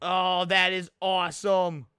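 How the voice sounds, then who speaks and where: A man giving casual, slangy live reaction commentary as a streamer, excitable and prone to swearing. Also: high voice, slow, clear, resonant tone, no room sound, clean studio sound. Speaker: streamer reacting to horror videos.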